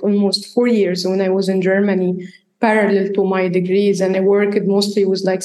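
A woman's voice: medium pitch, a little mellow. Earnest woman, Arabic native, echoey room. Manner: earnest, restarts sentences, tag questions like "right?". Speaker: interview guest. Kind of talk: conversational interview answers.

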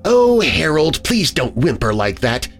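A man's voice: fairly high pitch, very animated, measured pace, soft background music, voice pushed up. A man voicing a coldly deranged wife who soothes and sneers with hissing menace in a horror story. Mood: sinister mockery.

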